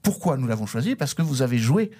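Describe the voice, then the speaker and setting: A man's voice: mid-range voice, big pitch swings, fast, mellow. Formal male interviewer. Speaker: interviewer, host. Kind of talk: radio interview.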